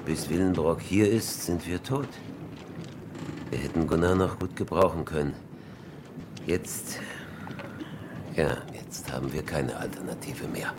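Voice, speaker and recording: An actor playing a grim shipwreck survivor in a radio horror drama, speaking deliberately and matter-of-factly. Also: male voice, low-pitched, noisy background. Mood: grim resolve. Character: grim shipwreck survivor calmly proposing the unthinkable.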